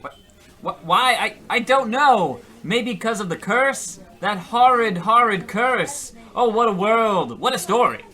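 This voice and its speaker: A man's voice: high-pitched, lively intonation, a little slow, pushed and bright, exaggerated voice. A man playing a hapless, melodramatic shoe salesman in a comic radio play, earnest, flustered and prone to stammering. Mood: anguished melodrama. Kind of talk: comic old-time radio play acting.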